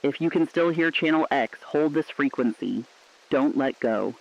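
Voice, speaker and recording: A man's voice: on the high side, lively intonation, a little slow, creaky, lo-fi sound, full character voice. A man playing a weary but hopeful broadcaster in an underground relay, speaking in a solemn, poetic, reflective style. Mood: reflective, resolute. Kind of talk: scripted apocalyptic radio broadcast narration.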